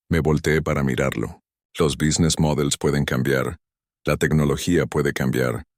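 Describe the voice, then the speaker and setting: A man tells a first-person drama in a slow, stern, measured way. His voice is extremely deep and booming low. The recording is dry and close, with no room echo. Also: darkish tone. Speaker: narrator. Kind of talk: first-person story narration.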